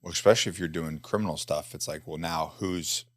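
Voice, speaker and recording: A man's voice: extremely low pitch, a little fast, no room sound, studio quality. A man hosting a casual interview, mostly giving short affirmations and easy follow-up questions. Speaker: podcast host.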